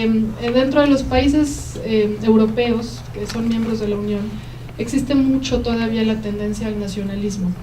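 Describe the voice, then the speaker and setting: Mexican woman lecturer, soft mellow voice, lots of ums, echoey hall, mic far off. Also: on the high side. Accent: Mexican Spanish. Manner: explanatory, pauses often with eh and em. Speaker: guest lecturer. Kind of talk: university lecture answering audience questions. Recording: roomy hall, mic at a distance, faint noise.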